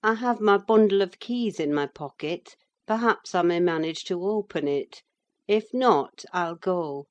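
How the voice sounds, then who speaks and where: A woman's voice: on the low side, a little slow, highs cut off, close to an everyday voice. Woman playing a firm, protective woman servant. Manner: firm, admonishing. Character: protective, plain-spoken woman servant, sensible and scolding. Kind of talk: audiobook character voice.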